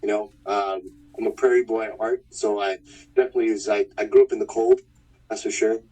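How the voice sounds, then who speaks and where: A man in his thirties from Manitoba, a fighter talking about his past in a relaxed, rambling way. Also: lowish voice, a little fast, recorded from a distance, poor audio. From Winnipeg, Manitoba, Canada. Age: 30s. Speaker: fighter, interview guest. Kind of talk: casual interview chat.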